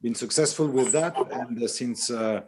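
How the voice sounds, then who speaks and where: Halting male airline executive. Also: mid-range voice, background noise. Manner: halting, repeats words. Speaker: panelist, airline regional head. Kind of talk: online panel introduction.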